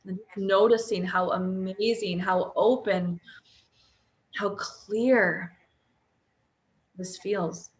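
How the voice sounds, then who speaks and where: A woman guides a sleep meditation at a very slow, drawn-out pace. Her mid-range voice stays soft and soothing, and it often sinks almost to a whisper. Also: medium pitch, steady tone.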